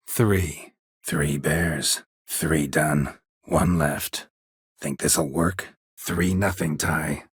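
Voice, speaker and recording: An actor playing a gruff, stoic monster hunter in a video game, with terse, deadpan, dry-witted delivery and a gravelly voice. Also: male voice, dry recording, heavily acted. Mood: deadpan, matter-of-fact. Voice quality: gravelly, husky low voice. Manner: terse, deadpan, clipped phrasing.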